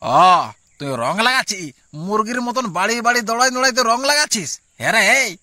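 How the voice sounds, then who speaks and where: A man's voice: extremely high pitch, animated, fast talker, pushed and bright, dry recording, clean studio sound, heavily acted. A man voicing a jealous, hot-tempered village husband and father in a comic cartoon, berating in a rural Purulia Bengali accent. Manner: blustering, berating. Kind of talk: amateur comic cartoon dialogue.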